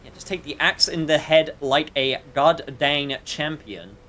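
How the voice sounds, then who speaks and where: A man's voice: on the high side, unhurried, a bit bright, a little hiss or hum. A man livestreaming a video game with casual chat and wry, joking, sometimes sweary commentary.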